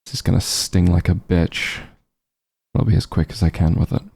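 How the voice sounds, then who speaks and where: A man playing a calm, caring back-room doctor who gently scolds an old friend in a softly spoken ASMR roleplay, soothing with dry bluntness. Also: exaggerated voice. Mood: apologetic, steadying. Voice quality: low, gravelly, husky. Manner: soothing, unhurried bedside reassurance with dry bluntness.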